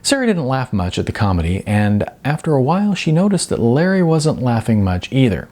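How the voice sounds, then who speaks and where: Male audiobook narrator, husky and animated in pitch, for literary fiction narration. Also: brisk. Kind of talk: scripted narration of a novel.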